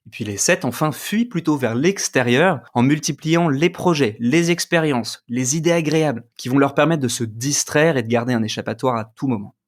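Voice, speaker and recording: A man co-hosting a podcast, giving a measured, scripted explanation as an enneagram coach and trainer. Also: medium pitch.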